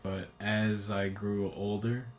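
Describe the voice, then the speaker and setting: A young man with a fairly low voice answers slowly and hesitantly. His tone stays flat, with faint background hiss. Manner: hesitant, slow and measured. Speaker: interviewee. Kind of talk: casual interview answers.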